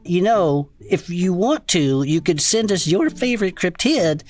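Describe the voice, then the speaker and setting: A man playing a goofy, skeptical cryptid-show host, with casual, jokey, excitable banter. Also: sits high, measured pace. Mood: upbeat, joking. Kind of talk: improvised comedic banter.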